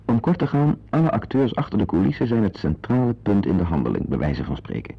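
An actor playing a self-important, opinionated husband in a radio drama, confiding in listeners in a wordy way and hesitant with strangers. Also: male voice, fairly low pitch, very animated, fast talker, gravelly, breathy edge, exaggerated voice. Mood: musing, confiding. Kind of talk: radio drama acting with narrated asides. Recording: dull, lo-fi old radio play recording.

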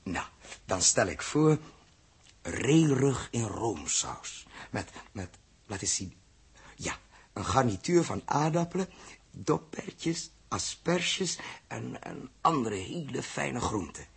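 An actor playing a troubled, indulgent man with a husky voice and a hesitant, musing manner, in 1960s Dutch radio drama style. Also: medium pitch, very animated, slow, exaggerated voice. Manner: hesitant, musing, thinking aloud. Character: troubled, indulgent grown man humouring a little girl.

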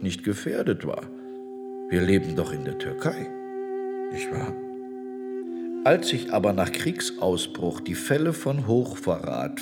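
An actor playing a self-righteous Ottoman minister in a radio play, speaking in a measured, lecturing, dismissive way. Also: lowish voice. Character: cold self-righteous male Ottoman statesman. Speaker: government minister.

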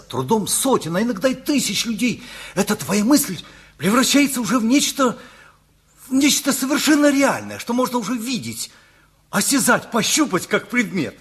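An earnest, effusive engineer of middle age in a radio comedy. His voice is very husky and swings widely in melody. He muses and rambles with emphasis, easily flustered. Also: high voice, a bit bright, exaggerated voice. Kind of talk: Russian radio comedy play acting.

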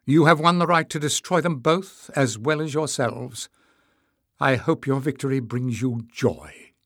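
A man voicing a proud, bitter mother in an audiobook, high-pitched, accusing and imperious. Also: extremely slow, sharp tone, slightly rough, no room sound, studio quality, full character voice. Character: Tyrrell, proud bitter mother, piercing and indignant. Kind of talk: acted audiobook dialogue.

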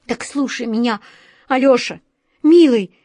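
A woman's voice: fairly high pitch, measured pace, a little mellow, no room sound, voice pushed up. A woman voicing a nervous office director, a grown man who pleads and stammers in panic. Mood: panicky.

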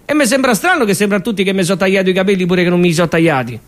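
An actor plays a fretful young man with a Roman accent in a stage comedy, his voice sitting high. He stammers and repeats himself in exasperation, bickering nonstop with his girlfriend. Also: lively intonation, rapid-fire. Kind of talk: live theatre comedy.

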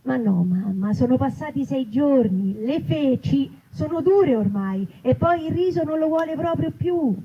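A woman's voice: high voice, lively intonation, unhurried, few pauses. Woman acting a weary, practical mother. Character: practical, weary young Roman mother of toddler. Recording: muffled live recording with noise.

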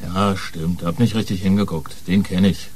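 An actor playing a big, sullen, evasive thug in a radio crime drama. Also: male voice, low-pitched, little pitch movement, normal speed, a little mellow, natural voice.

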